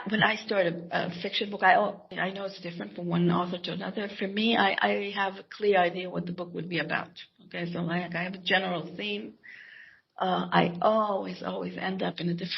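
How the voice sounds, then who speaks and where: Middle-aged Hebrew-native woman author, reflective and halting, raspy voice. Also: medium pitch, lo-fi sound. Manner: hesitant, reflective, many restarts and soft laughs. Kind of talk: interview answers.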